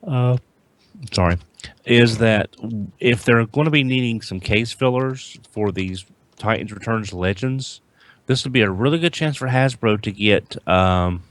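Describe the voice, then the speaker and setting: Halting, lowish-voiced man chatting on a podcast. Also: no room sound. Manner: halting, repeats words as he starts. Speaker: podcast panelist. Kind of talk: casual chat.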